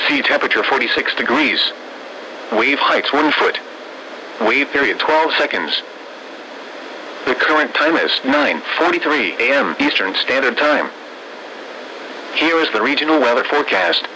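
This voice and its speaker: An automated male announcer reading a scripted weather bulletin in formal, measured, synthetic phrasing. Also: medium pitch, sharp tone. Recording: noisy weather radio broadcast.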